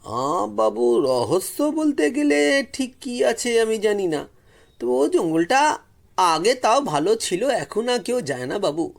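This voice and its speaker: A man's voice: sits high, big pitch swings, slow, creaky, pitched above the performer's own voice, full character voice. A man voicing a humble, eager rustic in his late fifties with a Hindi-tinged accent, fawning and repeating himself in a story. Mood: wary. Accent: non-Bengali, Hindi-tinged Bengali. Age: 50s. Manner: obsequious, effusive, repetitive.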